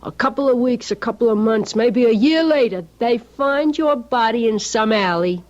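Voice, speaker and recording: An actress playing a cynical, fearful working-class city wife, insistent, scornful and colloquial, in a radio drama. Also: female voice, on the high side, expressive, moderate pace, close to an everyday voice. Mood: insistent warning. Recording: dull lo-fi radio recording.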